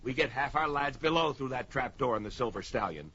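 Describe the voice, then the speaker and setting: A gloating slave-ship deckmaster acted by a man for a fantasy radio play. His forceful, cutting voice mocks and orders people about. The recording is dull with faint noise. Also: mid-range voice, lively intonation, a little fast. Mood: gruff mockery. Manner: mocking, barking orders. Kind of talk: pulp fantasy audio drama acting. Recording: dull, lo-fi, slightly noisy.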